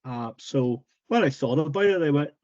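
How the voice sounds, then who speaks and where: Relaxed man on dull lo-fi call audio. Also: fairly high pitch, moderate pace, no echo. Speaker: author being interviewed. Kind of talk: casual interview chat.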